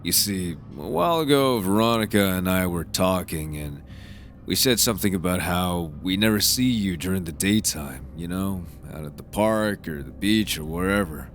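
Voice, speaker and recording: A jovial middle-aged family man who sells insurance for a radio drama. His voice is deep, and he talks bluntly with folksy jokes. There is faint music in the background. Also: lively intonation, moderate pace. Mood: sheepish, explaining.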